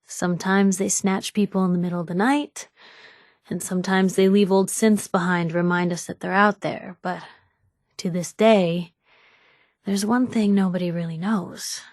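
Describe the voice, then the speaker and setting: A woman's voice: fairly low pitch, steady tone, moderate pace, a little mellow, dry recording, studio quality, natural voice. An actress playing a spirited, nosy young woman reporter in a video game, talking in a wry, inquisitive, punchy and colloquial way. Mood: wry, earnest. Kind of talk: video game companion dialogue.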